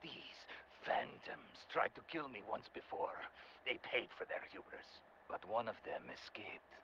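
An actor playing a ruthless, coldly persuasive Soviet general in a video game, speaking in a deliberate, grandiose and menacing manner. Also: male voice, on the low side, very animated, creaky, a little hiss or hum, heavily acted. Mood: bitter, seething. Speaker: military commander villain. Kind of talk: video game audio log acting. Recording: muffled game audio with loud background ambience.

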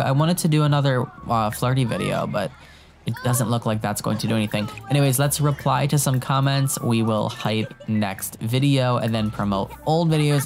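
A young man talks through his game in a casual, excitable running commentary, speaking straight to his viewers. A cold leaves his voice sounding a little off and his throat sore. His pitch is middling for a man, and faint music plays underneath. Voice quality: voice sounds a bit off from illness. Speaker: gaming YouTuber. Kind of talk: casual let's-play commentary. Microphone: headset mic.

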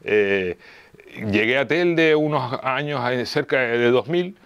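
A fifty-year-old man with a Canarian Spanish accent, explaining things as an interview guest, haltingly, searching for words and repeating phrases. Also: medium pitch, animated, a bit bright, smooth, lo-fi sound. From Las Palmas, Canary Islands. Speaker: interview guest, local police officer and heritage enthusiast. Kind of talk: interview answers, explanatory talk.

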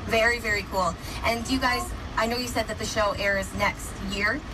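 A woman's voice: a little high, fairly even intonation, normal speed, recorded from a distance, background noise. A woman hosting a casual radio interview in an informal, encouraging way. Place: radio studio.

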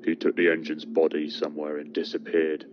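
A man voicing a grim steam engine who tells dark tales in an ominous, deliberate manner with pauses for effect. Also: rumbling low, completely flat delivery. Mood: ominous storytelling. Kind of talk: slow dramatic horror storytelling. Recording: muffled, very noisy recording.

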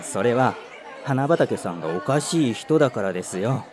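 A man's voice: medium pitch, lively intonation, normal speed, darkish tone, some chatter behind, close to an everyday voice. An actor playing a timid, overthinking young male office worker who stammers when flustered and also narrates the story. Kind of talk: first-person narration and dialogue.

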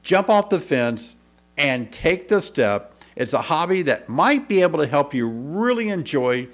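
A man's voice: fairly high pitch, very animated, measured pace. A man in his fifties, a beekeeping instructor giving an upbeat, persuasive video lesson to camera in a small indoor studio, speaking into a desk mic. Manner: upbeat, persuasive, clear teaching delivery. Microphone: desk microphone in front.

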